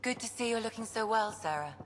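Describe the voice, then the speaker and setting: A woman's voice: on the high side, animated, a little fast, a little hiss or hum, lightly acted. A woman playing a no-nonsense space expedition crew member in a video game cutscene. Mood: approving. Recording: music under the voice.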